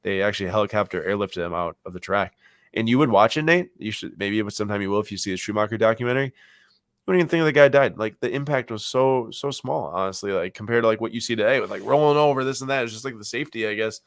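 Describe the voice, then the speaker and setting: A man's voice: on the low side, fast talker, no room sound, clean studio sound. A man co-hosting a casual live stream, rambling with many "like" fillers. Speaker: co-host of a card auction stream.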